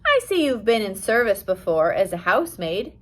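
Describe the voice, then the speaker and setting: A woman voicing a kindly, formal male mission director in a measured way for an audiobook. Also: mid-range voice, very animated. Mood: politely matter-of-fact.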